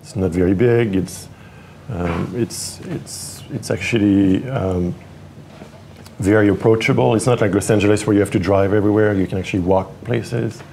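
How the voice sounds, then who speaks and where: A French man from Paris in his forties, a tech executive answering interview questions with many pauses and restarts. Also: lowish voice, steady tone, creaky, mellow, a little hiss or hum. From France (Paris). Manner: hesitant, many fillers and restarts. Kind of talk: interview conversation.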